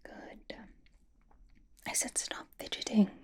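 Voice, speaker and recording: A woman playing a possessive, teasing female vampire in a whispered ASMR roleplay, breathy, coy, intimate and commanding. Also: takes it slowly, close to an everyday voice. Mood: anxious, scolding.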